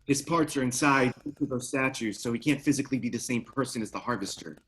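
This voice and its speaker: A man playing an earnest, uneasy researcher of dark lore in a screenplay table read, explaining things hesitantly. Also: medium pitch, fast talker, slight background noise, close to an everyday voice, rough recording. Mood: uncertain, earnest.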